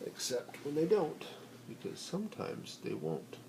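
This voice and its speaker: A man reading a children's picture book aloud in an expressive, playful, rhythmic way with dramatic pauses. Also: a little high, creaky. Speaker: bedtime story reader. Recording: noisy amateur home recording.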